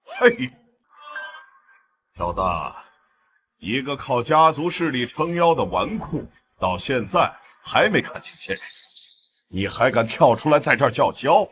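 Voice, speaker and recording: A man's voice: medium pitch, very animated, unhurried, pauses often, a bit bright, slight background noise. A man acting a smug, scheming middle-aged clan patriarch, a melodrama villain with a sneering, theatrical delivery. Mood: sneering mockery. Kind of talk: melodramatic short-drama acting.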